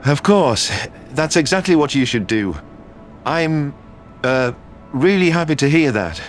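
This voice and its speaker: A man's voice: fairly high pitch, expressive, unhurried, faint music behind. An actor playing a concerned, loyal human man in earnest, emphatic dialogue from a sci-fi audio drama. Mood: encouraging.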